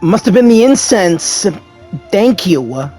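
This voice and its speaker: Woman gamer with lively, swinging intonation. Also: mid-range voice, slow pace. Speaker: gaming video host. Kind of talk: casual game playthrough, reading dialogue and commenting. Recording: faint game music behind.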